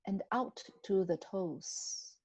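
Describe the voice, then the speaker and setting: A woman guiding a body scan meditation in a gentle, unhurried manner with long pauses between phrases. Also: mid-range voice, slightly rough, no echo. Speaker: meditation guide.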